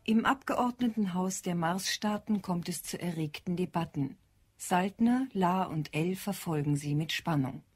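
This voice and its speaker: A woman's voice: on the low side, a little slow, slight background noise. A woman delivering scripted narration in an audio drama.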